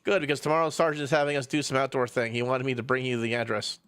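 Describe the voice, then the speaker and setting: A man's voice: medium pitch, level tone, very fast, fairly bright tone, clear, resonant tone, natural voice. Man voicing caring, cheeky young tank girl. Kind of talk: acting out visual novel dialogue.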